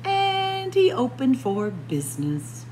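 Expressive, unhurried woman reading to children. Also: high voice, faint music behind, low-quality recording. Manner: expressive, deliberate, addressing young listeners as friends. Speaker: librarian storyteller. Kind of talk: picture book read-aloud for children. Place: indoor library room with bookshelves.

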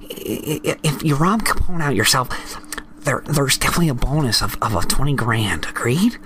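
A man's voice: medium pitch, lively intonation, normal speed, fairly bright tone, a little hiss or hum, lightly acted. A man playing an ingratiating, smarmy gangster boss who stammers and repeats his words in an audio drama. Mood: businesslike.

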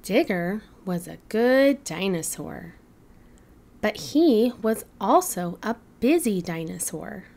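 A woman narrating a children's bedtime storybook in a deliberate, animated read-aloud manner. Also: medium pitch, gravelly. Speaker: children's book reader.

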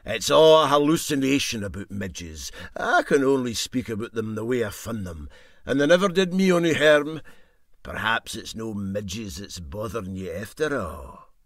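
A man's voice: lowish voice, animated, normal speed, fairly bright tone, dry recording, studio quality, pitched below the performer's own voice. A man voicing a boastful, scornful ship's engineer with a Scottish accent and a dismissive manner. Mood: contemptuous. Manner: contemptuous, dismissive.

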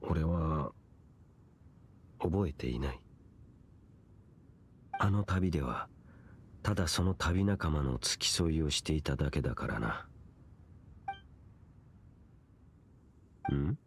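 Very deep, brooding knight. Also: male voice, slight background noise. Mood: reserved, brooding. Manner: solemn, curt, archaic and measured. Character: stoic, brooding male knight wanderer in a fantasy game. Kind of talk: Japanese anime game voice acting.

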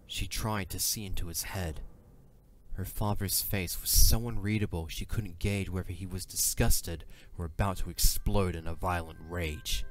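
A man's voice: sits low, steady tone, moderate pace. A man narrating a scripted fantasy audio drama as a dramatic storyteller.

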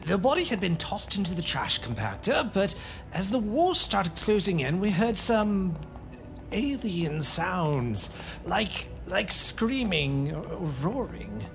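Man playing troubled overseer, swinging pitch. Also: sing-song, takes it slowly, gravelly, fairly bright tone, faint music behind, exaggerated voice. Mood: shaken. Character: troubled, defiant military site overseer. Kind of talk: fan audio drama acting.

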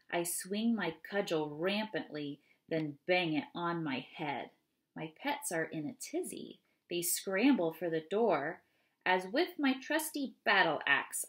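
A woman in her thirties reading children's poems aloud and chatting casually, in an unhurried, deliberate way. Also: on the low side. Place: small home room, indoors. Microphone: webcam or laptop mic at desk distance.

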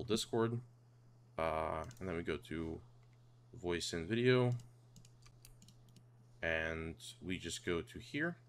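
Male tutorial host, low-pitched, informal and instructional, slight hum behind the voice. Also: darkish tone. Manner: informal, step-by-step explaining. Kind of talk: casual screen tutorial narration. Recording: clear background noise and hum.

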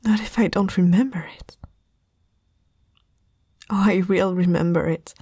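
A haughty young princess, acted by a woman in a fantasy audio roleplay. She speaks in a very breathy whisper, slowly and haltingly, proud but afraid. The sound is close and dry, with a soft, dark tone. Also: medium pitch, no echo. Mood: defiant, fearful. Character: proud, frightened eighteen-year-old princess confronting a night intruder. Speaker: ASMR roleplay performer. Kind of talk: whispered ASMR roleplay acting. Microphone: close microphone, dry.

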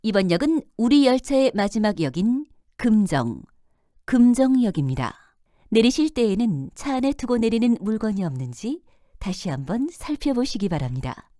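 A woman announcer reading scripted subway station announcements in a formal, clearly enunciated manner. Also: on the high side, big pitch swings, moderate pace, mellow, dry recording, studio quality. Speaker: train announcer.

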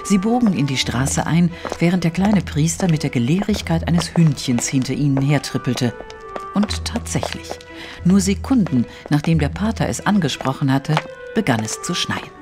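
A man narrating an audio drama from a literary script in a measured storytelling manner. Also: on the high side, lively intonation. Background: music.

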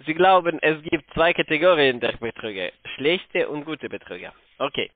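A man with a foreign accent speaking halting, non-native German as a call centre agent, hesitant and repetitive, often seeking confirmation. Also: on the high side, very animated, no echo. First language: not German. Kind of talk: improvised sales talk on a phone call. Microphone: telephone. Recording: muffled narrow-band phone line.

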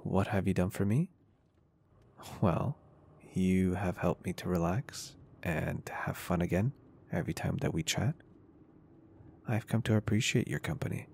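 Man acting a deep, gravelly, caring business owner. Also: a little slow, long pauses, exaggerated voice. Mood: tender, earnest. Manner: soft-spoken, reassuring, polite and earnest. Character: caring, flirty, confident male business owner, gently protective. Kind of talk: intimate ASMR roleplay acting.

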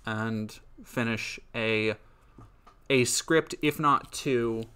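A man's voice: mid-range voice, extremely slow, sharp tone, clean tone. Brief: young male streamer, drowsy and a bit sniffly, meandering casual chat full of restarts. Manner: rambling, trails off with frequent ums and restarts. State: a little sniffly and sleepy. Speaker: streamer and indie game developer.

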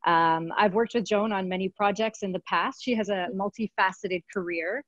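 A woman's voice: mid-range voice, normal speed, dry recording, lo-fi sound. A woman hosting an online panel, introducing each guest in a chatty way with fillers. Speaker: host and moderator.

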